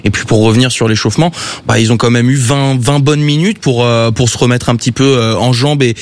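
A man analysing a football match on a radio debate, measured and hesitant with many fillers. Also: mid-range voice, fairly even intonation. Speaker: football commentator.

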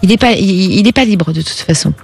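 A middle-aged woman hosting a call-in radio psychic show, advising a caller gently and often adding a little "hein". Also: medium pitch, a little fast, creaky, slightly rough, faint music behind. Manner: reassuring, gently advising, frequent tag "hein".

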